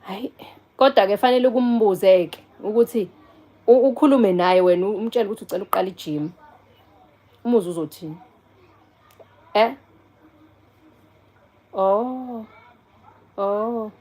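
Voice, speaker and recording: A woman's voice: mid-range voice, moderate pace, pauses often, dark tone. A South African woman in her forties chatting casually on a live stream, answering viewers and taking a call in between. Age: 40s. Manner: chatty, answers viewers and phone calls, switches between English and Zulu.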